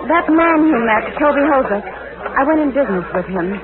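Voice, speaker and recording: A nervous, pleading young woman in a 1940s radio serial, urgent and halting. The sound is thin and old, with a crowd murmuring behind her. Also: high-pitched, expressive, narrow, tinny audio. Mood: worried. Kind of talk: 1940s radio drama acting.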